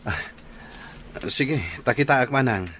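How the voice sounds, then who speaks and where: A man's voice: medium pitch, animated, a little slow, sharp tone, a little hiss or hum. Mid-range male actor as dutiful, concerned younger brother in radio drama. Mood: cooperative. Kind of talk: Ilocano radio drama acting.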